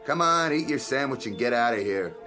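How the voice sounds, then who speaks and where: A gruff, sarcastic male bartender in a radio play. His mid-pitched voice jumps around in lively, curt retorts over background music. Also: a little fast. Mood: irritated. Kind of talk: radio drama acting.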